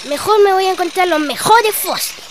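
An excitable, eager little boy with a Chilean accent, talking in slangy bursts in a drama. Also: very high voice, a little fast, slight background noise. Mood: excited, eager. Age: child.

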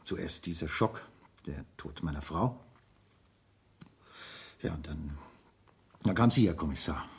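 Very hoarse-toned, anxious businessman. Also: a bass voice, lively intonation, exaggerated voice. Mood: anxious, confessing. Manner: formal, deliberate, defensive. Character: formal, anxious businessman under murder suspicion. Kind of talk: 1970s German radio crime drama acting.

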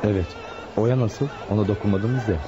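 A man's voice: lowish voice, fairly even intonation, moderate pace, music in the background, crowd noise, lightly acted. An actor playing a composed, polite young male suspect in a radio play, earnest yet defiant. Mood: cool, defiant. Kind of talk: Turkish radio theater acting. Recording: muffled, faintly noisy radio recording.

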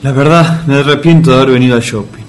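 Un actor argentino que interpreta en un radioteatro cómico a un cliente de café de barrio, reacio, tacaño y quejoso. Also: male voice, medium pitch, fast talker, close to an everyday voice. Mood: grumbling, wry. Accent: Argentine, Rioplatense. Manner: complaining, colloquial. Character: reluctant, grumbling, penny-pinching neighborhood café regular. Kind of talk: comic radio play acting.

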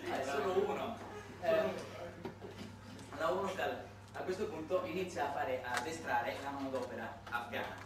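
A man's voice: mid-range voice, fairly even intonation, moderate pace, crowd noise. Male stage narrator in an echoey hall. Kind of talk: theatrical narration in a solo stage monologue. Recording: echoey noisy hall, distant microphone.

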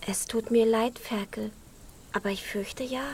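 A woman playing a little chick in a children's radio play, a caring friend who talks gently but bluntly. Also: fairly high pitch, steady tone, unhurried, soft background music. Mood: gently regretful.

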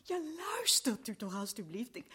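A young woman on trial in a radio play, breathy, stammers and pleads. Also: medium pitch, sing-song, moderate pace, bright, forceful tone, full character voice. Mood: distraught. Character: young woman accused of murder, distraught. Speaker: accused prisoner.

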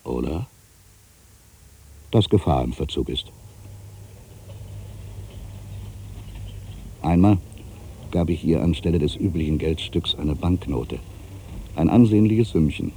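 Casting: irritable ageing writer, radio drama monologue. Very deep bass, animated inflection, brooding and theatrical. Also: male voice, vocal fry, a little hiss or hum, full character voice. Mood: scornful, indignant. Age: older. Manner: theatrical, brooding, shifting from exclamation to hushed asides. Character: ageing writer, musing, irritable, suspicious, quietly superstitious. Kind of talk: literary radio play interior monologue.

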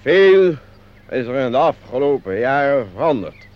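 A man's voice: medium pitch, sing-song, normal speed, natural voice. An actor playing a pompous company director, declaiming a speech to his staff in a Dutch radio play. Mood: pompous, rousing. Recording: dull, noisy old radio recording.

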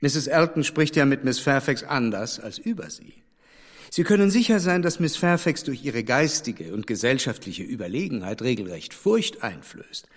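An actor playing a sensible, principled gentleman in a radio drama, speaking directly and firmly in a reverberant room. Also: medium pitch, big pitch swings. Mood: earnest. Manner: direct, firm, measured.

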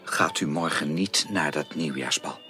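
An actor playing an earnest, caring and direct gentleman suitor in a radio drama, speaking formally and emphatically with probing, insistent questions. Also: rumbling low, unhurried, music in the background. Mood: firm, urging. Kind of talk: 1980s Dutch radio drama acting.

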